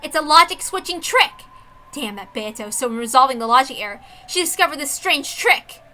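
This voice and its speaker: Casting: woman to play proud young female sleuth for visual novel; high-pitched, piercingly bright, theatrical monologues. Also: expressive, a little hiss or hum. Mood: frustrated, realizing. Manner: theatrical, deliberate, dramatic monologue delivery. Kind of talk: dramatic reading of a visual novel.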